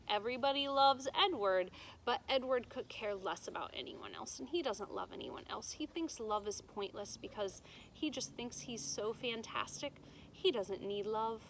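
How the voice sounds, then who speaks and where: A woman reads a story to young students like a teacher. Her voice is fairly high and bright, and her delivery is emphatic and explanatory. A little noise is audible behind her. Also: rough recording. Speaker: teacher reading aloud to students. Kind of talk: storybook read-aloud with simple explanations for children. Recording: noticeable background noise.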